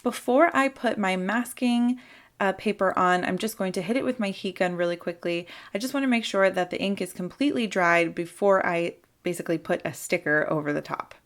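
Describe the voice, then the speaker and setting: Young woman, slight sore throat, medium pitch, friendly step-by-step crafting tutorial voice-over. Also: brisk. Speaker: papercraft tutorial host. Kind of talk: instructional voice-over for a crafting video.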